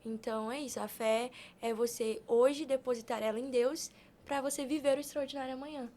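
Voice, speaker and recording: A woman's voice: sits high, steady tone, fairly quick, warm tone. A Brazilian woman talking conversationally as a podcast guest, with an earnest, emphatic, colloquial manner about her. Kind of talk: conversational faith-based motivational talk.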